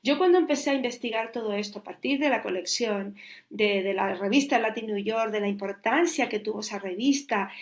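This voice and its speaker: A woman's voice: medium pitch, a little fast. A Chilean curator in her forties, talking in a reflective interview and thinking aloud with many restarts. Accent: Chilean Spanish. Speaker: curator and guest.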